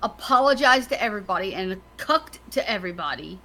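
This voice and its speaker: A woman's voice: medium pitch, lively intonation, a little fast, sharp tone, low-quality recording. A woman co-hosting a casual podcast, speaking bluntly and swearing a lot.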